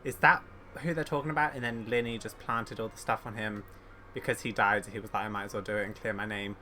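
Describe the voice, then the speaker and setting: A man's voice: fairly low pitch, steady tone, quick pace, bright, forceful tone, a little hiss or hum. A man giving casual, rambling commentary as a game streamer, thinking aloud and opinionated.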